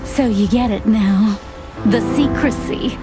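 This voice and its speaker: An actress playing a poised, secretive young servant woman in a noir detective game, speaking in a measured, coy and evasive way. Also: fairly high pitch, over music, poor audio. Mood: earnest. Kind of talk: film-noir game voice acting.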